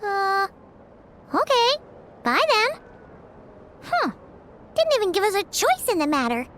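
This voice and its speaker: A tiny, excitable, childlike game fairy. The voice is extremely high and squeaky and bounces animatedly up and down in a perky, chatty way. Music is clearly heard behind it. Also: female voice, a little slow, exaggerated voice. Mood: curious, puzzled. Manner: perky, speaks of herself in third person. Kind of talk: video game voice acting. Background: background music.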